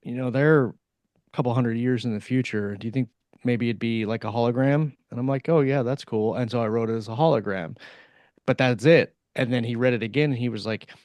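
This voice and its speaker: A man's voice: mid-range voice, fairly quick, darkish tone, no echo. A man co-hosting a casual podcast, telling anecdotes about his own writing in a self-deprecating way. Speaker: podcast co-host and author.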